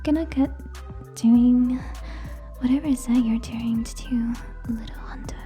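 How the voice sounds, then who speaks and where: A woman playing a haughty, teasing tsundere vampire in a scripted ASMR roleplay, whispering scornfully and deliberately, close to the microphone. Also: fairly high pitch, steady tone, warm tone, faint music behind, close to an everyday voice. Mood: dismissive, scornful.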